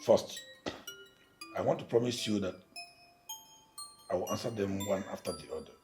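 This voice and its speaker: A man playing a courtly, persistent older village chief with a Nigerian accent in a film drama, speaking in a deliberate, declamatory way. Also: lowish voice, darkish tone, soft background music, close to an everyday voice. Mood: earnest, persuasive. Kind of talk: naturalistic film drama dialogue.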